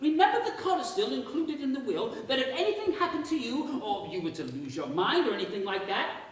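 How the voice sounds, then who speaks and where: A woman playing an eager, bumbling young man in a staged radio play, halting and excitable, recorded echoey from far off. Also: high voice. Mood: excited. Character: eager, bumbling, self-deprecating young man, nervously trying to take charge.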